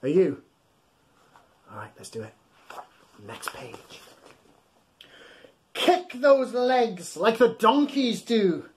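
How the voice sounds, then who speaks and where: A man's voice: sits high, huge pitch swings, a little hiss or hum. A man in his thirties reading aloud to young children in a playful, exaggerated, encouraging way, recorded in a small room at home. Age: 30s. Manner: addresses listeners with questions and pauses. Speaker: children's bedtime storyteller. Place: small home room full of soft toys.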